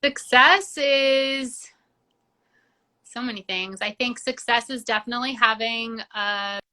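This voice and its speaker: A woman's voice: on the high side, takes it slowly, bright, forceful tone. A young woman from Georgia, a singer-songwriter whose first language is Georgian, chatting casually as an interview guest and filling her talk with "like". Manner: chatty, many "like" fillers.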